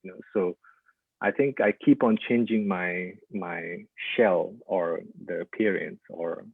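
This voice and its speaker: A man from Nepal presenting his artwork in a halting talk, with frequent "uh" and "like" fillers. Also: sits low, vocal fry, breathy edge, dry recording. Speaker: artist presenting his work. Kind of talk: informal lecture with slides. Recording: tinny, dry phone-like audio.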